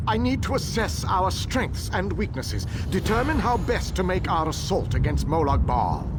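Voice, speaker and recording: A man's voice: sits high, very noisy. A formal, calculating elven king. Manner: formal, deliberate, strategic. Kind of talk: video game dialogue.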